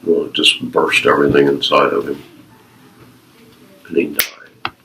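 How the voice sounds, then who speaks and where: A man's voice: extremely low pitch, completely flat delivery, measured pace, noisy background. A man in his fifties, a retired Navy veteran being interviewed, sharing personal stories and life advice earnestly in short, emphatic, repeated phrases. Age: 50s.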